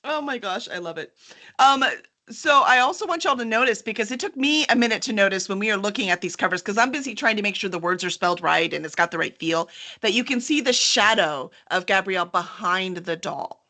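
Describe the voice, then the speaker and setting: Female host, bright tone, enthusiastic and conversational, able to slip into reading copy aloud. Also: medium pitch, animated, fairly quick. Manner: chatty, enthusiastic. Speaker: host and book publisher's representative. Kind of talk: upbeat interview chat with some reading aloud.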